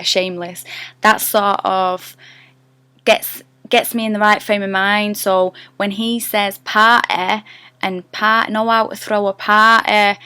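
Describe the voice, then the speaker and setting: Geordie woman vlogging about accents, chatty and a bit self-deprecating, smooth clear voice, dry close sound. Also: mid-range voice, a bit bright, no room sound. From North East England. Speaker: actress giving an accent tutorial. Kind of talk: casual to-camera vlog.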